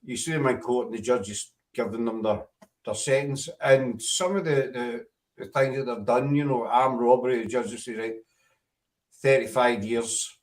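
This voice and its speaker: Brief: Scottish male host, rambling, self-correcting, mid-pitched, sits back from the mic. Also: moderate pace, distant mic. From Scotland. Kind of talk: casual panel chat.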